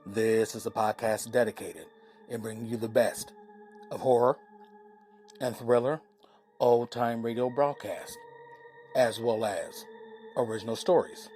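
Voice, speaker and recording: Slow-paced male podcast host over music. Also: mid-range voice, steady tone, darkish tone. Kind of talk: scripted podcast introduction.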